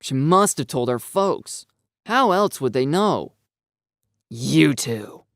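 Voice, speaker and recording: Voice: mid-range voice, lively intonation, a little slow, fairly bright tone, no room sound. A narrator reading a dramatised first-person story in a scripted style. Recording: dry, music behind. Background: background music.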